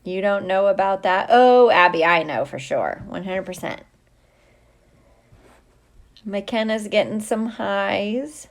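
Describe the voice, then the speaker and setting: A middle-aged woman talks at an unhurried, slow pace in a mid-pitched voice. She chats casually like a teacher greeting students online, playful and drawing out her hellos. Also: lively intonation. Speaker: teacher hosting a livestream for students. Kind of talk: casual livestream chat, greeting viewers by name.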